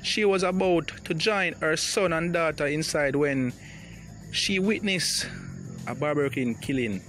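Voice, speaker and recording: A man's voice: a little high, a little slow, a bit bright, faint music behind. A man with a Jamaican accent who reads news reports and then comments on them in dramatic patois, often calling out to his listeners as "people".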